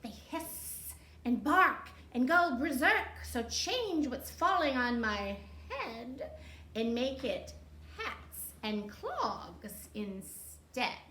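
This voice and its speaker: Storybook witch acted by a woman, high swooping singsong incantations, drawn out and commanding. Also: sing-song, extremely slow, sharp tone, heavily acted. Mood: theatrical, commanding. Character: dramatic young witch chanting rhyming spells. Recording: roomy echo, faint background noise.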